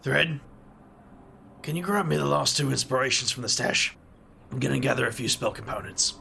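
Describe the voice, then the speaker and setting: A man's voice: mid-range voice, moderate pace, gravelly, pushed and bright, slight background noise. A man voicing a brooding, world-weary wizard investigator with a wry, dry way of talking. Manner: wry, brooding, muttering asides.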